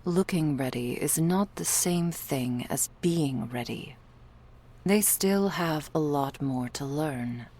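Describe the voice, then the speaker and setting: A woman's voice: low-pitched, slow, dark tone. A woman playing a stern, protective female vampire bodyguard in an ASMR roleplay, cool and clipped, often close to a whisper. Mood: stern, dismissive.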